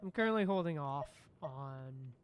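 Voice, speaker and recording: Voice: mid-range voice, animated, moderate pace, background noise. A livestream host chatting casually while gaming, often repeating phrases in reply to chat. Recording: music playing behind the voice.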